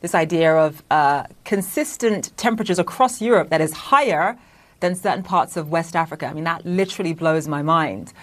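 A woman news presenter with a notably low voice. She leads into her interview questions in a conversational, personal style. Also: moderate pace. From London, England.

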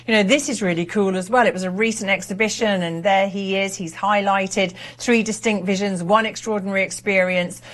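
A woman co-presenting an auction show as art specialist, talking up the artwork with enthusiasm. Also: mid-range voice. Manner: enthusiastic sales talk about artwork. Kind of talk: presenter commentary.

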